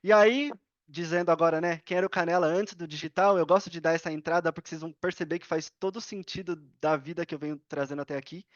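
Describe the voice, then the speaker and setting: A man with a notably high voice and a Brazilian accent tells personal stories in a casual interview. He drops in slangy asides and acts out bits of dialogue. The sound is dry and somewhat dull, like lo-fi podcast audio. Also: fairly even intonation, fast, no room sound. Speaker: podcast guest.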